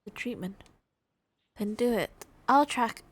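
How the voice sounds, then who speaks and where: A woman's voice: on the high side, takes it slowly, slightly rough, no echo. A woman game streamer reacting casually as she plays, close to the microphone. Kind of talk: casual reactions while playing.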